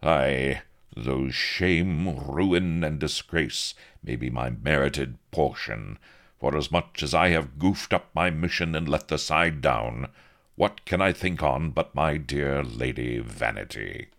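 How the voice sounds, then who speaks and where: A man doing a haughty captain who talks in old-fashioned, pompous phrases. The voice is gravelly and sits very deep, with a stiff, indignant grandeur. It is read like a comic storybook. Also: animated, takes it slowly, fairly bright tone, exaggerated voice. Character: haughty stiff-necked male pirate-hunter captain.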